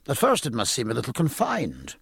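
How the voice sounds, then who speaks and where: Man playing an inquisitive learned gentleman, animated. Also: medium pitch, fairly quick, gravelly, breathy edge, no echo, clean studio sound, heavily acted. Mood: courteous, curious. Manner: formal, precise, questioning. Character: inquisitive, learned gentleman, a puzzled landsman aboard ship. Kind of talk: audiobook character acting.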